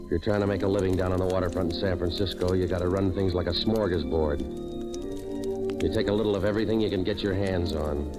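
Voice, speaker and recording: An actor playing a cynical, wisecracking waterfront operator in old-time radio noir, dry and world-weary. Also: male voice, low-pitched, fairly even intonation, quick pace, over loud music, close to an everyday voice. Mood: world-weary, sardonic.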